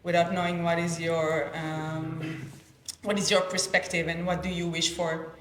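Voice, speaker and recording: Halting, even-toned woman in an echoey room. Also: lowish voice, a little hiss or hum. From Israel. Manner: halting, with many pauses and hesitations. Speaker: peace activist panelist. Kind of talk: personal testimony at a panel discussion. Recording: roomy, echoing event space.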